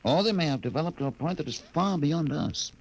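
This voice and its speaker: An actor playing a fatalistic, sardonic expedition doctor in a 1950s radio drama, speaking deliberately and philosophically. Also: male voice, on the high side, animated, poor audio. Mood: ominous musing.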